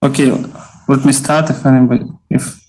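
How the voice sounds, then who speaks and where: Russian-accented man, mid-pitched, hesitant self-introduction with many pauses. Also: little pitch movement, a little mellow, poor audio. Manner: hesitant, searching for words. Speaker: clinical psychologist, lecture attendee.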